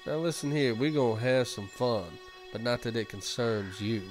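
A man's voice: mid-range voice, moderate pace, soft background music, lightly acted. Man acting a mocking, swaggering gang boss. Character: taunting, crude male post-apocalyptic gang boss.

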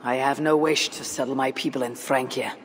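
An actress playing a calm, direct Norse warrior woman in a video game cutscene. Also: rumbling low, fairly quick, long reverb tail, slight background noise. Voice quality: plain, direct.

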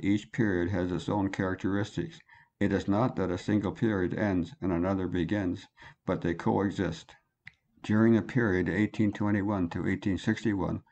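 A man's voice: deep voice, darkish tone, a little hiss or hum. A man reading a scripted educational narration in a plain explanatory way.

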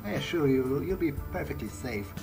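A man role-playing a video game character, thinking aloud in a halting, musing way. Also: medium pitch, expressive, creaky. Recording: loud game music behind the voice.

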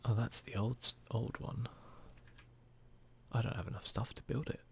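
A man doing hushed, murmured ASMR commentary over a video game, talking to himself close to the microphone. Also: mid-range voice, steady tone, unhurried, creaky, slight background noise. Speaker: gaming video host.

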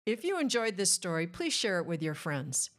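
A woman's voice: fairly low pitch, very animated, moderate pace, soft background music. A woman addressing young listeners as the reader of a children's read-aloud. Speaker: storybook reader.

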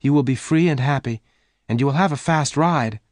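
A man voicing an earnest, warm-hearted, excitable woman in a western storybook, with emphatic delivery. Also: brisk, no room sound, studio quality, natural voice. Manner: emphatic, exclaiming, raised pitch. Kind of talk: dramatised audiobook dialogue.

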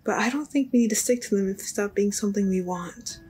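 A woman voicing a gentle young apprentice mage in an anime fandub, soft, reflective and a little hesitant. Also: mid-range voice, quick pace, husky. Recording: music under the voice.

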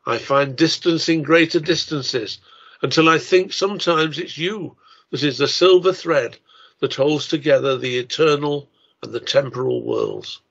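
A man in his seventies giving a scripted talk and poetry recital in a measured, formal manner. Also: fairly high pitch, vocal fry, fairly bright tone, lo-fi sound. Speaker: writer and lecturer.

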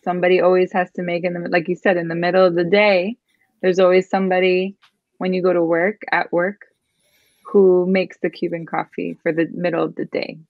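A woman's voice: on the low side, flat delivery, a little fast, no echo. A woman in her thirties from Miami, chatting casually as a guest on a video-call interview, with easy laughs and lots of "like" and "um". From Miami, Florida. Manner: chatty, laughs easily, many "like" and "um" fillers. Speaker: guest photographer. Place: home room with a chair. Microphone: webcam on a video call. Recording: dull, slightly lo-fi video-call audio.

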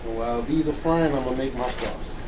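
A man's voice: mid-range voice, brisk. A man hosting a casual home cooking demonstration in a kitchen, talking informally to viewers. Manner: informal, addresses viewers as y'all. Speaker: home cooking show host. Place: home kitchen beside sizzling fryer. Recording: distant mic, loud frying kitchen noise. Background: frying food sizzle.

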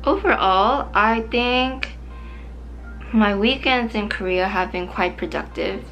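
A young woman in her twenties chatting to camera while doing her makeup, her voice a little strained and hoarse from overuse. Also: medium pitch, slow, fairly bright tone, soft background music, poor audio. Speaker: vlogger. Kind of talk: casual makeup chat to camera. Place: small bright room indoors.

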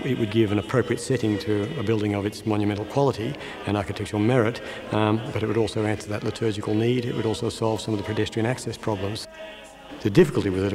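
A middle-aged man, explaining a project in an interview. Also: lowish voice.

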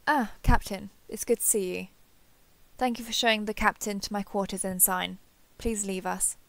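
A woman playing a warm, earnest female alien starship captain in a sci-fi roleplay audio drama. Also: medium pitch, fairly even intonation, normal speed, gravelly. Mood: stunned, tender. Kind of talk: roleplay audio drama, one-sided dialogue.

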